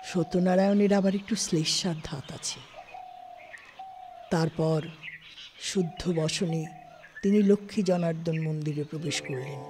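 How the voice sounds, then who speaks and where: A woman's voice: deep voice, a little slow, long pauses, mellow. A woman narrating a scripted literary story as an audiobook-style narrator. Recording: studio, faint background noise.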